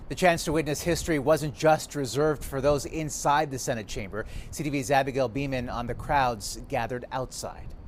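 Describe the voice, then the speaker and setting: Canadian male news anchor, mid-pitched. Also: moderate pace, hardly any pauses, a little hiss or hum. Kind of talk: scripted news presenting.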